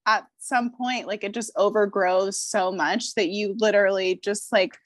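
Animated woman guest talking astrology. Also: mid-range voice, moderate pace, no room sound. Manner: animated, opinionated, swears, lots of filler likes. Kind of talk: casual podcast chat.

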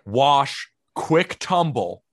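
A man co-hosting a baseball podcast, talking in an upbeat, energetic, positive way. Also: mid-range voice, very slow, no echo, studio quality. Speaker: podcast co-host. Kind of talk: casual sports podcast chat.

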